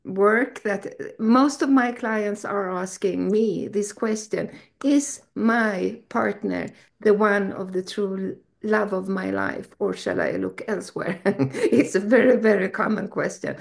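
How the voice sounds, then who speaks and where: A woman's voice: mid-range voice, slow pace, vocal fry. A woman hosting an interview, enthusiastic and effusive in her questions. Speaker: festival host interviewer. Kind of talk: casual interview.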